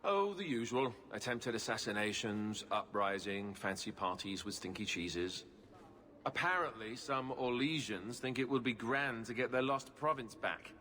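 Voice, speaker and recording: A man's voice: medium pitch, lively intonation, normal speed, bright, forceful tone, in a large hall, slight background noise. An actor in a video game playing a good-humoured, weary but hopeful king with a dry, casual, joking manner. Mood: dry, concerned.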